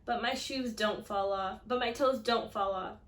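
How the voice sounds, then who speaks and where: High-voiced woman reading a children's story, roomy sound. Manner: animated, acting out dialogue and pausing to question listeners. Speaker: read-aloud storyteller. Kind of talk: expressive children's picture book read-aloud with questions to listeners.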